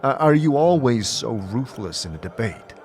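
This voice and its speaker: A man's voice: mid-range voice, big pitch swings, moderate pace, a little mellow, faint music behind. A man narrating an audio drama and speaking as its lead, with hesitant "uh"s in his lines. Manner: hesitant, with filler "uh"s in dialogue.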